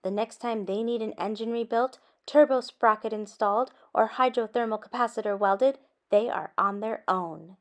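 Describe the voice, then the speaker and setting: A woman's voice: fairly high pitch, moderate pace, hardly any pauses, natural voice. A woman voicing a clever, fed-up young female unicorn in a children's storybook, speaking firmly and indignantly.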